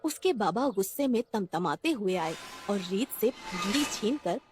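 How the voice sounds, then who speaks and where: A woman's voice: medium pitch, lively intonation, moderate pace, a little mellow, faint music behind. A woman narrating a scripted cartoon story for children.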